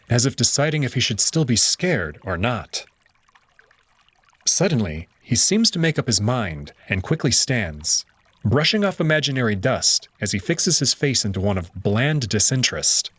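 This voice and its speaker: A man narrating a visual novel in the first person, measured and tense. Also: medium pitch, husky, no echo.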